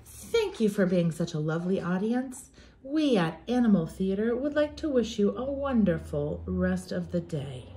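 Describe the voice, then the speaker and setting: A woman's voice: medium pitch, sing-song, moderate pace, warm tone. A young woman teacher reading aloud for young children in an emphatic, theatrical, sing-song way.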